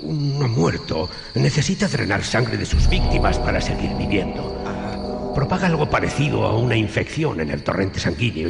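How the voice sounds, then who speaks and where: A man playing a learned vampire-hunting professor in a radio drama, grave and gravelly, explaining things dramatically. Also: fairly low pitch. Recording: loud music behind the voice.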